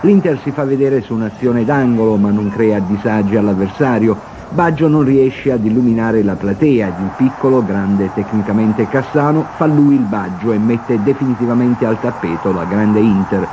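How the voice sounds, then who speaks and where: A man's voice: lowish voice, moderate pace, few pauses. A man reading a scripted football match report as a television sports reporter. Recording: noisy archive TV broadcast.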